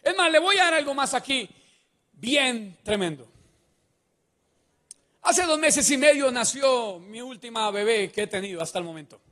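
High-voiced preacher, emphatic. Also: male voice, animated, moderate pace, long pauses, a bit bright. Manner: emphatic, fond of rhetorical questions to the congregation. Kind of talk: sermon. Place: large church stage with a band set-up. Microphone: handheld mic. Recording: reverberant church hall.

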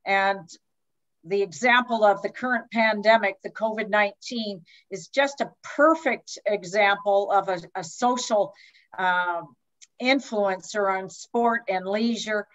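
A woman from Canada giving an online lecture over slides on a video call, speaking in a measured way with frequent hesitations. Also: mid-range voice. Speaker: guest lecturer. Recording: slightly dull video-call audio.

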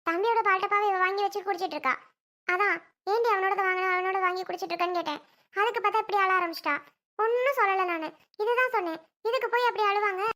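Woman playing a scolding aunt, very high, bright. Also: fast talker. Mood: defensive. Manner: scolding, defensive. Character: scolding young aunt, exasperated and self-justifying.

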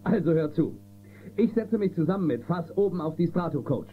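Man as an animated, commanding marshal hero. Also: fairly high pitch, brisk, close to an everyday voice. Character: good-humoured, commanding male space-western marshal hero. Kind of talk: German children's audio drama acting. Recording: narrow phone-like band, music behind.

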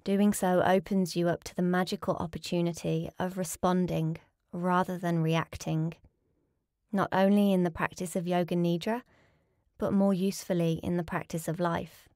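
Low-voiced woman guiding deep relaxation. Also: no echo, studio quality. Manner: calm, soothing, measured instruction with pauses. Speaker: yoga nidra teacher. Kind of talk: guided relaxation meditation.

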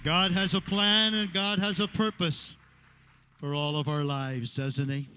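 Pastor at a pulpit introducing a guest. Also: male voice, a little high, flat delivery, measured pace, clear, resonant tone, faint music behind. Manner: warm, praising, standing at a pulpit. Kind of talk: formal introduction. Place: church sanctuary stage. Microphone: handheld mic.

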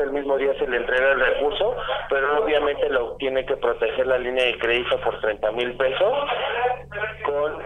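A man giving a formal, persuasive loan pitch over a recorded phone call. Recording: tinny recorded phone call with crowd noise.

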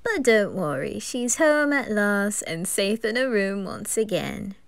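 A woman voicing a playful, eerie male undertaker in an anime fan roleplay, teasing and drawn-out. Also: mid-range voice, expressive, gravelly, no room sound.

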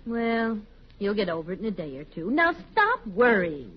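An actress playing a sensible, patient, gently chiding wife in a matter-of-fact way in a 1940s radio comedy. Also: female voice, medium pitch, sing-song, normal speed, breathy edge. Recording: muffled, faintly noisy 1940s radio recording.

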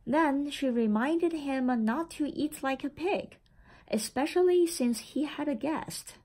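Woman, high voice, very slow pace, expressive picture-book read-aloud, children's storyteller, deliberate phrasing. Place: indoor room with framed certificates on the wall.

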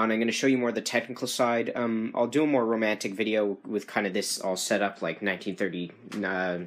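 A young man giving a casual technical demonstration, halting and correcting himself, recorded indoors on a handheld camera. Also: lowish voice, fairly bright tone. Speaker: hobbyist radio restorer. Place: small furnished room indoors. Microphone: handheld camera, behind it.

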